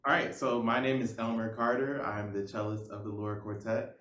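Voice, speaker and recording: A young American man answers interview questions in a conversational way. His voice sits slightly low. He is recorded in an echoey room with faint noise. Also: fairly even intonation, fairly quick, fairly bright tone. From Oklahoma, United States. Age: young adult. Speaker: cellist, music student.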